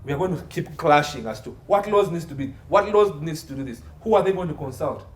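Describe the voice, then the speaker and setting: A man's voice: fairly high pitch, expressive, a little fast. A man explaining as an interview guest, emphatic, repeating phrases and posing rhetorical questions. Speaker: legal consultant, interview guest. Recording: echoey room with faint background noise.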